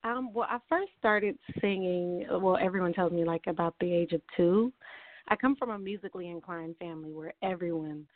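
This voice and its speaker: A woman's voice: on the low side, normal speed, dry recording. A woman from California chats as a guest singer, laughing easily while she tells stories. Her voice comes over a thin, tinny phone line. The sound is dry and close, with no room around it. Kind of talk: casual interview chat. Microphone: phone call.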